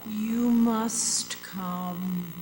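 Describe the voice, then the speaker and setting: A woman playing the calm, solemn ghost of a murder victim in a radio drama. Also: medium pitch, very slow. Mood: ominous. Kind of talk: old-time radio drama acting on stage. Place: performing arts center hall. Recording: faintly noisy stage recording.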